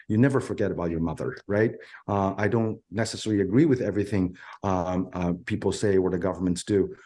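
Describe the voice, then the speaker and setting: A man's voice: low-pitched, brisk, dark tone. A middle-aged man from China with a Chinese accent, answering in an interview in an earnest, emphatic way, telling his story in short phrases. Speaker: violin professor and interview guest.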